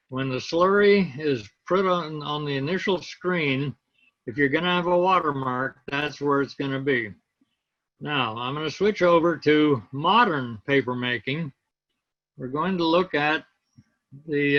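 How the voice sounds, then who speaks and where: A man teaching an online class over a laptop video call, explaining step by step and pausing to look for things on his screen. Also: fairly high pitch, pushed and bright, dull audio. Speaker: instructor.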